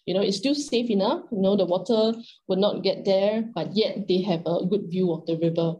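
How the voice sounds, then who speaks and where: Female moderator, Singaporean accent, middle-aged, soft dark tone, conversational delivery for an online panel. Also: medium pitch, moderate pace, poor audio. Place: indoors, on a video call. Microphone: video call microphone.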